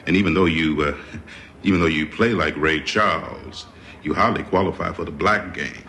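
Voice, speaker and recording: An actor playing a blunt, probing prison doctor with hesitant phrasing in a television drama. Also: male voice, very deep voice, normal speed, sharp tone, a little hiss or hum, full character voice. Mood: probing, frank. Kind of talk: naturalistic television drama acting.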